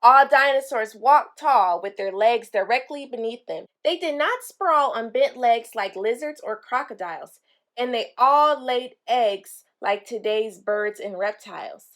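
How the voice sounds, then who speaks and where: A woman reading a children's picture book aloud, pausing to explain things simply to young listeners. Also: on the high side, expressive, a bit bright. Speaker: read-aloud storyteller for children.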